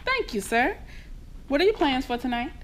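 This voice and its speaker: A middle-aged working mother played by a woman in a stage reading, weary but warm and wry. Her voice sits high and swings widely in pitch. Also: sing-song, normal speed, slight background noise. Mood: fond, fretting. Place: theater stage, live reading.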